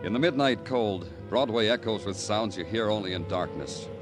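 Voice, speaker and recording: A male actor as a world-weary radio detective with a low voice, narrating his beat in brooding, poetic lines. He delivers it with deliberate drama over loud music, on an old 1950s radio recording. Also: close to an everyday voice.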